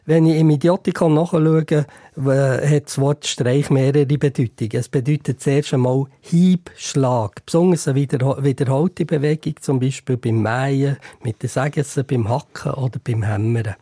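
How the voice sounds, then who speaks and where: A man with a Swiss German accent giving measured, scholarly explanations as an expert guest, with some hesitation. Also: medium pitch, warm tone, dry recording, clean studio sound. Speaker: family-name expert guest. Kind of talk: explanatory interview answers.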